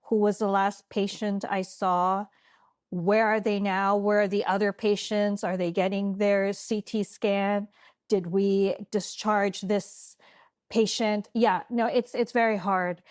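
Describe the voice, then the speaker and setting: A woman whose first language is Tagalog, with a mid-range voice and a dry, close sound. She chats casually in an interview, playful and quick to laugh. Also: mid-range voice, level tone, a little mellow, no echo. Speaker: actor guest.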